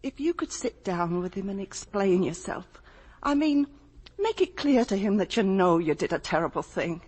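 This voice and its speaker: A worried wife and mother, with a husky voice at a middling pitch. She is careful, earnest and imploring, in the manner of vintage radio drama. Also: expressive, normal speed, darkish tone. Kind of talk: 1950s radio drama acting. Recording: vintage 1950 radio broadcast.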